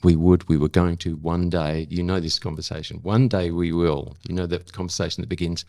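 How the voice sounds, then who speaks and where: Seventy-year-old Australian male, extremely low and creaky voice, reflective interview guest, close dry sound. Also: little pitch movement, a little fast, mellow, no room sound. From South Australia. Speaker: interview guest, former broadcaster.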